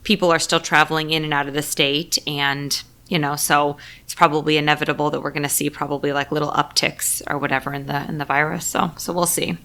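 A woman's voice: sits low, little pitch movement, fairly quick, hardly any pauses, pushed and bright. A woman hosting a podcast interview in a casual, chatty way, effusive and informal in how she talks. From United States. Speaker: podcast host.

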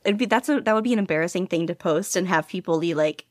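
A woman's voice: on the low side, quick pace, dry recording. Woman guest, giggly and rambly, lots of "like", dry close audio. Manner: giggly, rambling, heavy on fillers. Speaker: podfic reader and guest. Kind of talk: casual interview chat.

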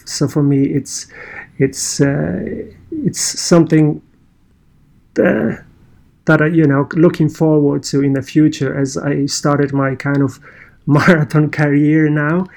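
A man's voice: on the high side, fairly even intonation, gravelly, a little mellow. A man from Poland with a Polish accent, telling his own story in casual conversation and often restarting his sentences. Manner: halting, many restarts and fillers.

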